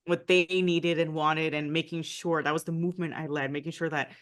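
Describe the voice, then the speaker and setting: Woman with a Nicaraguan American accent, somewhat low pitch, lively storytelling, guest in an interview. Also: a little fast. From United States, family from Nicaragua. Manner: animated storytelling, frequent "right?" tags. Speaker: guest, former diplomat. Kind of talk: conversational interview.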